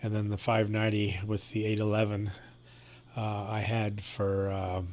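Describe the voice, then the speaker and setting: A man's voice: fairly low pitch, monotone, normal speed, a little mellow. A man chatting casually about technical gear over amateur radio, heard through a shortwave transmission. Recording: faintly noisy ham radio signal.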